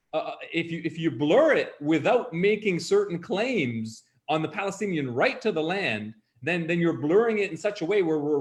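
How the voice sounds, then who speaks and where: A male panelist in an online debate who argues earnestly with many repeated words and restarts. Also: fairly high pitch.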